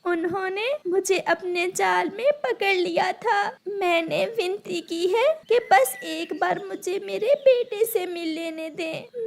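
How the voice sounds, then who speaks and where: A woman's voice: very high-pitched, creaky, heavily acted. A woman voicing an honest, tender mother bird in a children's cartoon, earnest and imploring. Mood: sorrowful, urgent. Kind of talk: Hindi children's cartoon voice acting.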